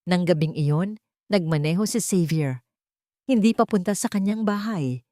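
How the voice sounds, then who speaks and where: A woman with a lower voice tells a dramatic love story with expressive, scripted delivery. The recording is dry and close, with no room sound. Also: normal speed, dry recording. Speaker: narrator.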